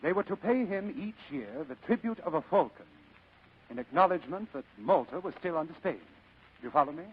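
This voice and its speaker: An actor playing a genteel, scheming fat crime boss in old radio drama style, pompous, florid and ornately courteous, with a husky voice. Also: male voice, fairly high pitch, animated, brisk. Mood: grand, savoring. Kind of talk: 1940s-style radio acting. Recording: muffled old radio recording.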